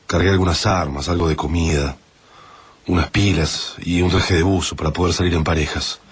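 An actor with an Argentine accent playing a grave, introspective family man who narrates in measured, reflective radio-drama monologue. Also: low-pitched, fairly even intonation, natural voice. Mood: practical, urgent.

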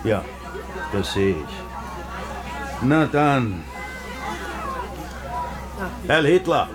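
Suspicious border official, medium-pitched, condescending, probing, quietly menacing, radio play, crowd behind. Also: male voice, big pitch swings, extremely slow. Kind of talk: radio drama acting.

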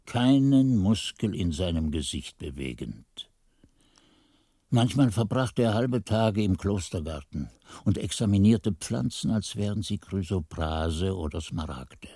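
An older male actor playing an aged monk who narrates memories of his youth in a measured, literary radio play style. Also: deep voice, animated, dark tone, slightly rough, dry recording, studio quality, full character voice. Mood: reflective. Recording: 1980s radio play with faint music.

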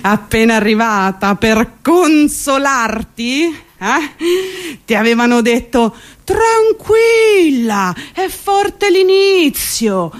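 An actress playing an exasperated, sardonic commuter worn down by city life, in a theatrical radio monologue with ironic, emphatic delivery. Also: female voice, sits high, sing-song, drawn-out words, heavily acted. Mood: weary irony. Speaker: stage actress.

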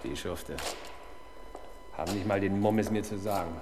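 A middle-aged actor playing a stubborn, embittered police inspector in a 1970s German radio crime play, gruff and sardonic. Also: male voice, low voice, moderate pace, noisy background, natural voice. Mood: bitter, sardonic.

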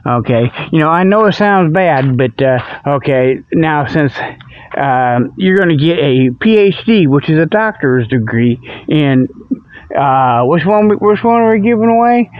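Folksy guy doing a rambling comedy radio report, bit high voice, muffled dry lo-fi sound. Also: lively intonation, unhurried, dry recording. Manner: folksy, ungrammatical, digressive. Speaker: comic radio report host. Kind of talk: rambling mock sponsor report. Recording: dull lo-fi, dry close sound.

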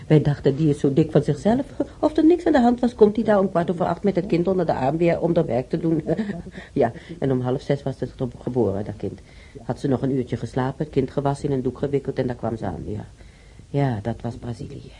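An actress playing a stubborn, wistful elderly hospital patient in a radio drama, speaking plainly in short phrases. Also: female voice, deep voice, mellow, slight background noise. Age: older. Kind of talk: naturalistic radio drama dialogue.

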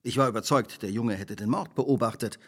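An actor playing a shrewd, relentless detective in a radio drama, speaking precisely, formally and theatrically. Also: male voice, mid-range voice, fairly quick, lightly acted. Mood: insistent, triumphant. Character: shrewd, relentless male detective laying out a crime.

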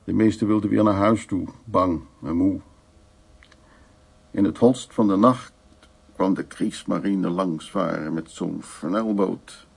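An elderly gentleman in a radio play, with a low, husky voice full of gravel. He is a wry old charmer who tells of his past in short, unhurried fragments. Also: heavily acted. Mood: wry reminiscing. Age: 70s or older. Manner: unhurried, fragmentary, reminiscing storytelling. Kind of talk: naturalistic radio drama monologue and dialogue.

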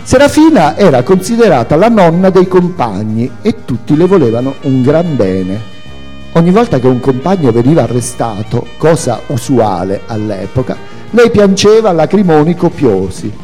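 A man reading a memoir aloud as its author, in an expressive, theatrical storytelling manner. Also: a little high, normal speed. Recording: echoey hall with music. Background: music.

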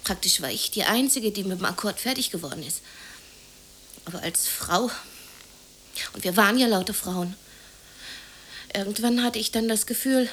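A woman's voice: mid-range voice, animated, moderate pace, pauses often, a bit bright, slight background noise, close to an everyday voice. An actress in a German radio drama playing a bitter, anxious, unemployed working-class woman who confides in plaintive, colloquial speech. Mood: weary, confiding. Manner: colloquial, confiding, plaintive, sometimes near whispering.

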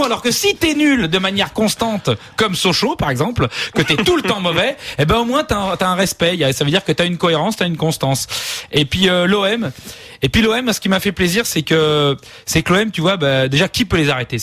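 A man giving an ironic, mock-serious football comedy chronicle on live radio, talking in rapid banter. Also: fairly high pitch, fairly bright tone, dry recording, studio quality. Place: radio studio.

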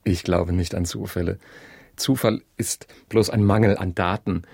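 A man's voice: low-pitched, fairly even intonation, moderate pace, vocal fry, a little mellow, breathy edge, dry recording, studio quality, exaggerated voice. An actor in a German radio drama playing an obsessive, pedantic abandoned husband who insists on his ideas with great earnestness. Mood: insistent, pleading.

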